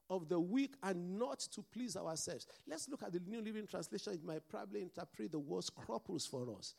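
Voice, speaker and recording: A man's voice: high voice, gravelly, darkish tone. A man with a mixed Nigerian and Scottish accent, preaching a sermon as pastor and calling out to the congregation for responses.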